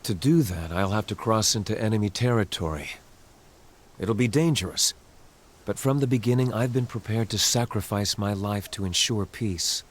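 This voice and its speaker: An actor playing a young prince in a game cutscene, speaking earnestly and solemnly about sacrificing himself for peace. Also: male voice, on the low side, moderate pace, natural voice.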